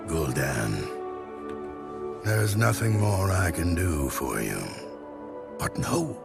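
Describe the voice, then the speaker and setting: A man acting a weary, regretful orc shaman elder in a game cinematic, slow and grave. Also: on the low side, creaky, full character voice. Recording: loud cinematic music behind.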